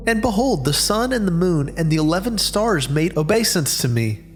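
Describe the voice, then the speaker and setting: A man plays an earnest teenage shepherd boy in an audio Bible drama. His pitch swings in lively arcs as he declaims old-fashioned lines. Soft music sits behind him. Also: normal speed. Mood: earnest wonder. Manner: archaic, declaiming scripture dialogue. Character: seventeen-year-old Hebrew shepherd boy, earnest dreamer. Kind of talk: dramatized scripture reading.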